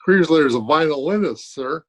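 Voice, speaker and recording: A man acts out a glib shop salesman in a vintage radio comedy. His voice sits a little high, and he delivers courteous patter with deadpan jokes. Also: moderate pace. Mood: obliging. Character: polite, glib music store salesman. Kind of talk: old-time radio comedy acting.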